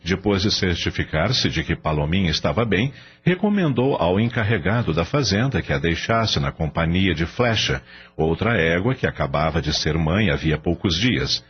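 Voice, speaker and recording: A man's voice: low voice, animated, normal speed. A man reading scripted story narration for a radio drama. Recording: dull lo-fi audio, faint background noise.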